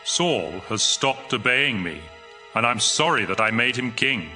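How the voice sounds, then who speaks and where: A man's voice: medium pitch, expressive, unhurried, sharp tone, soft background music. A man playing a solemn, almighty God in a dramatized audio Bible. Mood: grieved, solemn.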